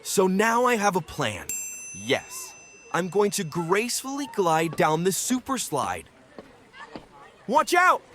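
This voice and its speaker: A woman's voice: medium pitch, sing-song, slow, fairly bright tone, a little hiss or hum. A woman voicing an anxious, eager young cartoon bean in an exaggerated, hesitant children's read-aloud style. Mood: excited, determined.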